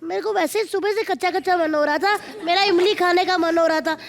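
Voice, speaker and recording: A woman hosting a comedy show, teasing guests and the audience with quick playful jokes. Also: very high voice, steady tone. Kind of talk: teasing stand-up banter with audience. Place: TV studio stage with live audience. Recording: loud studio audience and noise.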